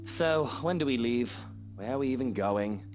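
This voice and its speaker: An actor playing an irritable, pompous rich husband with a British accent in a visual novel game. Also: male voice, medium pitch, animated, normal speed, music in the background, close to an everyday voice. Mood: grudging. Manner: curt, pompous, exasperated.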